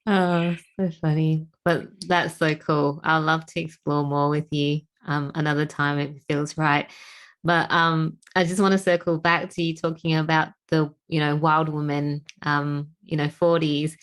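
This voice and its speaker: Soft-spoken, low-voiced woman host. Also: flat delivery, a little slow. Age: 30s. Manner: soft-spoken, affirming, hesitant fillers. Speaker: podcast host. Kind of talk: casual interview chat.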